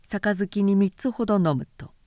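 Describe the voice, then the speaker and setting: A woman reading literary fiction as a narrator, with measured delivery and careful enunciation. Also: mid-range voice, dark tone, no echo, clean studio sound. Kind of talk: scripted literary audiobook narration.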